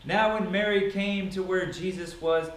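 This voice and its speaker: A man with a fairly high voice reads scripture aloud as drama, stressing phrases theatrically. The recording is roomy and echoing, with audible background noise. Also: fairly even intonation, unhurried. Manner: theatrical, emphatic delivery. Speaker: Bible reader. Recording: echoey room, distant mic, noisy.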